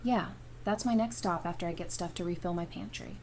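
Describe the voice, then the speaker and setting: A woman's voice: on the low side, brisk, a little mellow, lightly acted. A woman voice-acting a wry, affectionate apocalypse survivor in an audio drama, casual and dryly teasing. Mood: practical. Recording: noticeable background noise and ambience.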